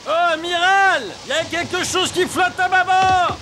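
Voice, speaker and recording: A man playing an excitable cartoon lookout sailor, calling out and drawling his words. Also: very high voice, unhurried, a crowd in the background. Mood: excited. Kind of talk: French animated series dubbing. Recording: clear noise behind.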